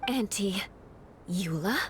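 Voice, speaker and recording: Haughty cool noble knight, mid-pitched but swooping, anime game, faint rumble behind. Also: female voice, lively intonation, slow. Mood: taken aback. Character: proud, aloof aristocratic young female knight. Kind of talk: anime video game voice acting.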